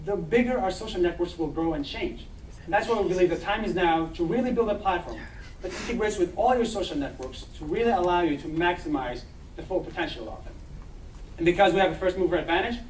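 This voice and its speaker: Young man pitching in an echoey hall. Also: slight background noise. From Israel. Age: young adult. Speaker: startup founder pitching. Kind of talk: startup pitch presentation. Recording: echoey hall, mic from far off.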